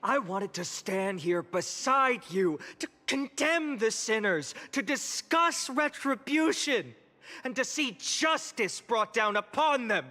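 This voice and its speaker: An actor playing an earnest young heroic leader in a fantasy game, giving a solemn, measured speech to his city. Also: very high voice, expressive, sharp tone, heavily acted. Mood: rousing, resolute. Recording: long hall reverb with music behind. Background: music.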